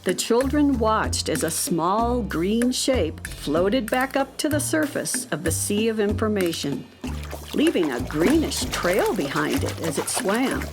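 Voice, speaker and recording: A woman's voice: medium pitch, big pitch swings, a little slow, music in the background. A woman narrating a scripted children's story as the narrator of a radio drama.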